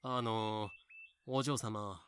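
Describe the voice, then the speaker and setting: A man playing a blunt, gruffly caring plantation overseer in a fan audio drama. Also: on the low side, steady tone, drawn-out words, fairly bright tone, no room sound. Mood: deferential, weary. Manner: rough, blunt masculine speech. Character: rough plantation overseer, gruffly caring, deferential to his mistress.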